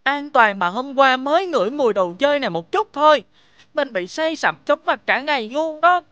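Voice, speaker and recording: A woman with a southern Vietnamese accent voicing a gentle, wary little schoolboy in a children's cartoon. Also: high voice, expressive, normal speed, no echo, lo-fi sound. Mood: reproachful.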